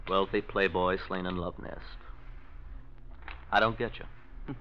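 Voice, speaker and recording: An actor playing a spendthrift young nephew, defensive and slangy, in 1940s radio crime drama style. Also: male voice, sits low, steady tone, slow pace, slight background noise. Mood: glum, skeptical. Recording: dull old radio recording.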